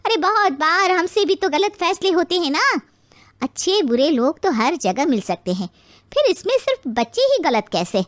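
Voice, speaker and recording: A woman voices a broad-minded middle-aged friend in a family tale. Her voice is very high and the recording is dry. She reasons persuasively and reassuringly. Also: big pitch swings, moderate pace, no echo. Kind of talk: acted moral story dialogue.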